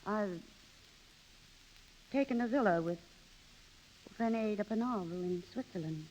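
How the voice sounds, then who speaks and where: An actress playing a vain, restless, snobbish wife in a haughty, theatrical 1930s radio drama manner. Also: female voice, medium pitch, measured pace, darkish tone, close to an everyday voice, low-quality recording. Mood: strained, pleading.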